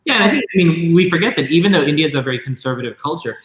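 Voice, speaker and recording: An echoey recording of an American man speaking at a quick clip. He is an interview guest with a casual, conversational way of telling stories. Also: on the high side, animated, fairly bright tone. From Texas, United States. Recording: echoey, roomy sound.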